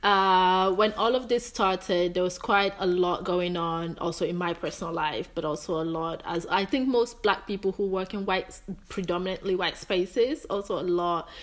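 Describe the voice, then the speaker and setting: A young woman from Greece of Nigerian heritage, talking casually as an interview guest, animated, with frequent restarts and searching pauses. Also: on the low side. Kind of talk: casual conversation.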